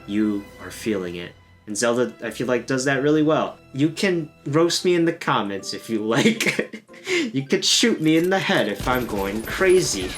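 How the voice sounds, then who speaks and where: A man's voice: medium pitch, lively intonation, normal speed, a bit bright. Casual man commenting on video games over music. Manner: informal, self-deprecating, joking. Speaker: host of a gaming video channel.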